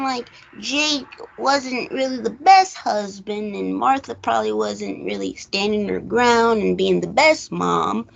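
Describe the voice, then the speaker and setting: A woman's voice: a little high, gravelly, low-quality recording. A woman co-hosting a movie recap show, chatting casually and rambling as she retells and acts out bits of dialogue.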